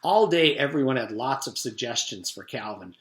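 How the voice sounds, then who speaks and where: A man's voice: mid-range voice, lively intonation, normal speed, fairly bright tone. A man reading a children's storybook aloud like a teacher, narrating expressively in a roomy space. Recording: roomy echo.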